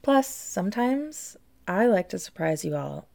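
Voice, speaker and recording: A woman's voice: medium pitch, sing-song, measured pace, vocal fry, a little mellow. A woman hosting a reflective solo podcast as a tarot reader, speaking earnestly and directly to her listeners.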